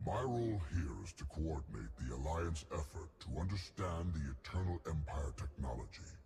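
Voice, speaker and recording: Deep, gravelly pompous alien scientist. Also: male voice, animated, mellow, a little hiss or hum. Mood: measured, appraising. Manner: formal, verbose, deliberate. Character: pompous, erudite alien scientist, fussy and eager. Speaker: alliance science chief. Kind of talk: scripted game character dialogue.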